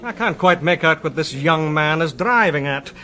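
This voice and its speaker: A cool, composed surgeon in a radio play. He talks in a dry, faintly mocking way, with a slightly high pitch. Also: expressive, moderate pace, bright, forceful tone. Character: dry, composed Scottish surgeon, middle-aged. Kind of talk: radio drama acting.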